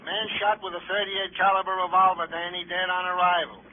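A man's voice: sits high, flat delivery, fairly quick, close to an everyday voice. An actor playing an eager, formal, long-winded policeman in a 1950s radio crime drama. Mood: eager, reporting. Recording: tinny, noisy old radio recording.

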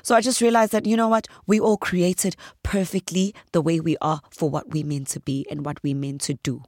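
Woman, husky and deep, low voice, earnest podcast guest, dry close sound. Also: fairly quick, no room sound. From South Africa. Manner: earnest, animated when telling stories. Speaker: guest actress. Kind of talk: podcast interview.

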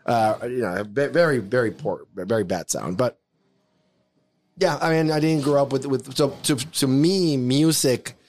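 A man's voice: mid-range voice, unhurried, darkish tone. A man in his forties from Mexico with a Mexican accent, telling his life story casually on a podcast with many restarts. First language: Spanish. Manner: rambling, many restarts and fillers. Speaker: podcast co-host. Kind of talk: casual chat, personal storytelling.

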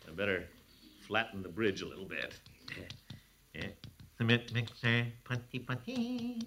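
An actor playing a pompous, blustering middle-aged amateur sleuth in a 1940s radio sitcom, chuckling and self-important. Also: male voice, lowish voice, wildly swooping pitch, measured pace, noisy background. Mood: scheming.

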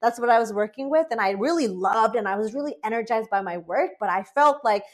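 A woman's voice: a little high, brisk. A woman from Bangladesh in her late twenties or thirties, a breathwork facilitator talking earnestly and chattily as an interview guest. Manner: earnest, chatty, many "like" fillers, animated quoted asides. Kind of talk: conversational interview.

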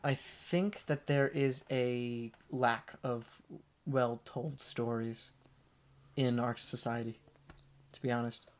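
Regular guy chatting on a podcast, lots of uhs and likes, laid back, mid pitch. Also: takes it slowly. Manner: relaxed, hesitant with many fillers. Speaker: podcast guest, storyteller. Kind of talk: casual conversation.

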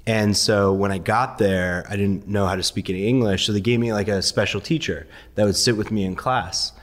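A man raised partly in Iran, first language Farsi, telling his story as a guest in a relaxed, laughing way with many ums. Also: lowish voice, a little fast, big-room sound. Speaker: guest, investor. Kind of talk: casual interview storytelling.

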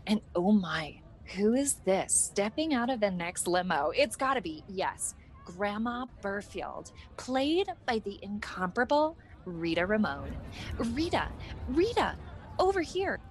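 Casting: actress as eager young female entertainment reporter, mid-pitched, gushing and polite, comic radio sketch. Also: big pitch swings, measured pace, slight background noise. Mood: starstruck. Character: chirpy, eager young woman red carpet reporter.

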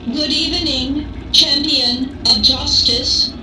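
A woman's voice: sits high, fairly even intonation, takes it slowly, background noise. A woman playing a polite, unflappable computer in a radio drama, making formal robotic announcements. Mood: neutral, formal. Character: polite female computer of a vigilante's vault, unflappable.

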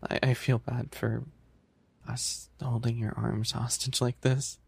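Man playing a husky, flustered animal-boy maid. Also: mid-range voice, level tone, a little slow, darkish tone, dry recording, exaggerated voice. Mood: embarrassed, scolding. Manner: flustered, stammering, pouty. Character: bashful, fussy young animal-boy maid café server. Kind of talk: roleplay ASMR acting.

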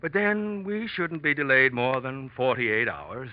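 Lively, affable actor as a ship's doctor. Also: male voice, medium pitch, heavy background noise, natural voice. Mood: matter-of-fact. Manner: chatty, unhurried, gently bossy. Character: affable, talkative male ship's doctor, quietly insistent. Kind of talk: 1940s radio drama acting. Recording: dull old radio recording.

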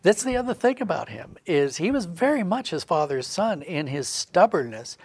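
A male podcast guest explains things in a conversational, reflective way. His voice is fairly high for a man, with plenty of gravelly creak. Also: sing-song, moderate pace, no room sound. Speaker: podcast guest, writer and creative director.

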